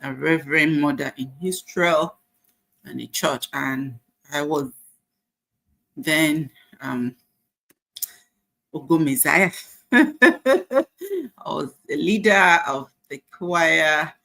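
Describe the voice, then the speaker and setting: A woman's voice: deep voice, slow, creaky, long pauses, sharp tone, poor audio. A Nigerian woman in her forties sharing her life journey in a casual, faith-filled, encouraging way, with frequent fillers. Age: 40s. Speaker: nurse sharing her story. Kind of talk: casual personal testimony.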